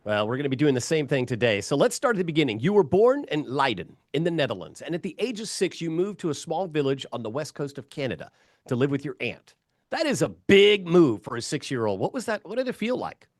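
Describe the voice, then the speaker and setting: A man's voice: on the high side, very animated, quick pace, dry recording, studio quality. A man hosting a sports podcast, asking eager questions with emphatic stresses. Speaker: podcast host. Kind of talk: interview podcast.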